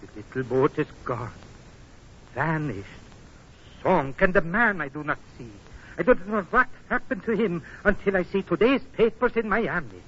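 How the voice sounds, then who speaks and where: Dramatic foreign sea captain, old radio. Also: male voice, high-pitched, animated, fairly bright tone, muffled recording. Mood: worried. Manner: dramatic storytelling in halting, formal phrasing. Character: earnest foreign ship captain, retelling a submarine attack. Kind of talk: 1940s radio acting. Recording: noisy, band-limited 1940s radio broadcast.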